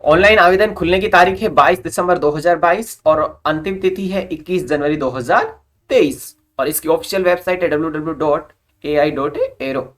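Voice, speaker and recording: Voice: mid-range voice, fairly quick, fairly bright tone. A presenter with a mid-range voice delivers an informational explainer. The delivery is instructional, reading formal notice wording and then putting it in simpler everyday terms. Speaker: presenter of job recruitment updates.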